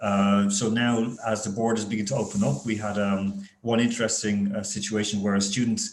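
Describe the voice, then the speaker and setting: Irish man, university panelist, chatty and anecdotal, deep-ish voice, roomy echo on the call. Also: level tone, fairly quick. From Ireland. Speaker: university international relations director. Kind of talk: webinar panel talk. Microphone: video call. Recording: echoey room on video call.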